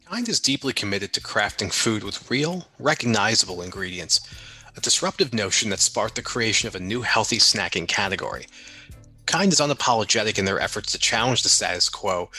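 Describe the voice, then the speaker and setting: A man's voice: fairly low pitch, bright, forceful tone, breathy edge. A man reading a scripted ad. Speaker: ad announcer. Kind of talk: scripted ad read. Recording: music bed behind.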